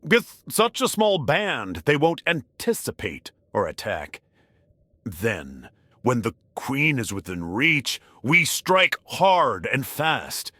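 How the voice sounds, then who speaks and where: Man acting a forceful, resolute warrior lord. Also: mid-range voice, wildly swooping pitch, slow pace, no room sound, heavily acted. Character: bold male warrior lord, resolute battle leader. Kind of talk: fantasy audio drama acting.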